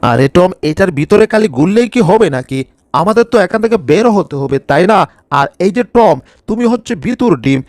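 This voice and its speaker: A high-voiced cartoon bulldog, played by a man, anxious but taking charge. He grumbles and scolds in exclamations. The sound is very dry. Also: a little mellow, dry recording. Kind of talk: cartoon fan dub.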